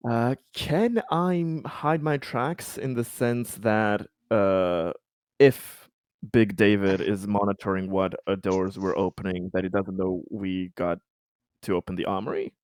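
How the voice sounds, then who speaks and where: Low-voiced man playing a tabletop game. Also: steady tone, unhurried, a little mellow, clean tone, dry recording, studio quality. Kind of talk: casual in-character game chat.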